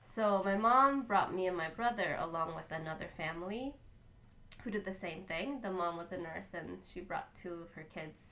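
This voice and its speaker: A woman from Korea, a violinist, telling stories about growing up in a relaxed, chatty way. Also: on the low side, normal speed, poor audio. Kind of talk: casual storytelling chat.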